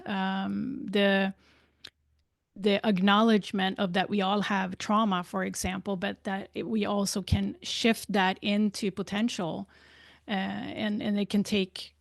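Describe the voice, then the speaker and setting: The guest on a spiritual podcast, a woman speaking English as a second language, weighing each halting phrase slowly with a gravelly fry. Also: medium pitch, no echo, studio quality. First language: not English. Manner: halting, deliberate, searching for words. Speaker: interview guest, spiritual life coach and author. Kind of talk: reflective interview conversation.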